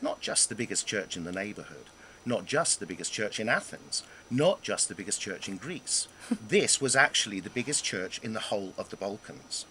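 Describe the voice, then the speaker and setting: A man reading his own humorous memoir aloud in a wry, self-deprecating way, with dramatic pauses and emphasis. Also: fairly low pitch, expressive, pushed and bright, a little hiss or hum, poor audio. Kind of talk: scripted narration of a humorous memoir.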